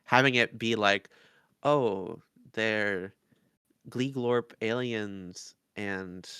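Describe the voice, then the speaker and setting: A man co-hosting a podcast, discussing things in a measured, thoughtful and somewhat hesitant way. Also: fairly low pitch, pushed and bright, clean tone, no room sound, studio quality. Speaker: podcast co-host. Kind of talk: casual analytical discussion.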